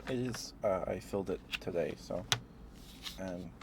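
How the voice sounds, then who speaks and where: Guy doing asmr stream chat, kinda low voice, playful rambling, pretty noisy background. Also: unhurried. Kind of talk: ASMR, casual rambling chat to live viewers.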